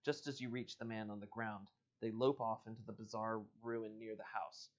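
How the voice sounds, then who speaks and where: Through dull, lo-fi livestream audio comes a lower-pitched man's voice. He is an informal host who moves between reading an adventure book aloud and casual banter. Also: level tone, normal speed. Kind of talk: storybook read-aloud mixed with casual livestream chat.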